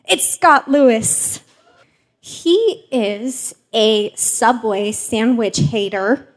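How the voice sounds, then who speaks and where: Chatty woman with slightly high voice. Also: expressive, very slow. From Mexico. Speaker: host and journalist. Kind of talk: casual live panel hosting.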